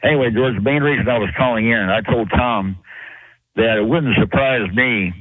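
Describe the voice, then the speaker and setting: A man's voice: medium pitch, moderate pace, slightly rough, dry recording. A man calling into a radio show who rambles, restarts and fills with hesitations. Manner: rambling, many fillers and restarts. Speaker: caller. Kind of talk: call-in radio talk. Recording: tinny phone line.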